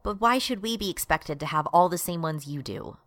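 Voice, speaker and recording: A woman's voice: on the low side, expressive, a little fast, a bit bright, no room sound. A woman acting as an exasperated, righteous progressive activist in a satirical radio drama.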